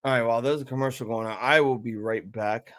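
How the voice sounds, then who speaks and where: A man in his thirties hosting a live-reaction stream, chatting casually and rambling with profanity and sudden loud excited outbursts. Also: mid-range voice, fast, no echo, studio quality. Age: 30s. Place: home room at a table.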